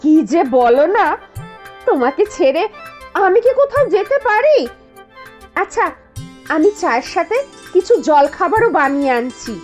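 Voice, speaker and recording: A woman's voice: very high-pitched, big pitch swings, normal speed, long pauses, a little mellow, faint music behind. A woman voicing a hot-tempered, dramatic Bengali housewife in a cartoon. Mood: delighted, sweet. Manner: scolding, dramatic. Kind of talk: cartoon voice acting.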